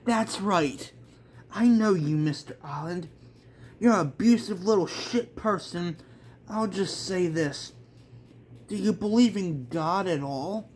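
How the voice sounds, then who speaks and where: A man's voice: high voice, slow, a little mellow, slight background noise, pitched above the performer's own voice. A man voicing a defiant, smirking young woman prisoner from a manga story, taunting and foul-mouthed.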